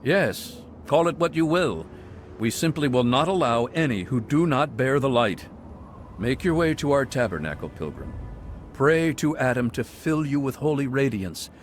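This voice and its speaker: An actor playing a serene, zealous male cult monk in video game dialogue, speaking in a soft, pious, sermonizing way. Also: medium pitch, lively intonation, moderate pace, slight background noise, close to an everyday voice. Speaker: game character.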